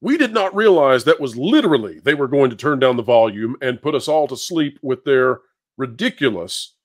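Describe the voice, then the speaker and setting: A man's voice: mid-range voice, lively intonation, normal speed. A man giving opinionated live commentary as a panelist, theatrical and sarcastic in a declamatory way.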